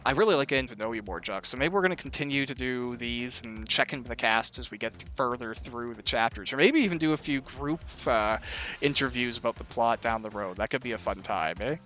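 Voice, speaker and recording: A man with a bright, forward voice at medium pitch hosts a casual interview. He talks in an encouraging, reflective way, with music playing behind him. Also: expressive, fairly quick. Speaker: interview host and author.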